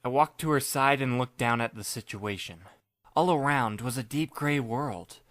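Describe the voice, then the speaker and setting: A young man narrating in the first person, his mid-pitched voice dry and wry, with understated asides. The sound is close and dead, with no room behind it. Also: moderate pace, fairly bright tone, no room sound. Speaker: narrator and lead reader.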